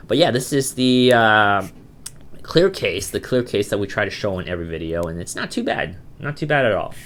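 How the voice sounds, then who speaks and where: A man's voice: lowish voice, lively intonation, normal speed, a bit bright, a little hiss or hum. Man with a cold, reviewing gadgets. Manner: chatty, loose, many fillers and restarts. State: has a cold, voice sounds a bit off. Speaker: tech reviewer, channel host. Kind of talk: casual product review vlog.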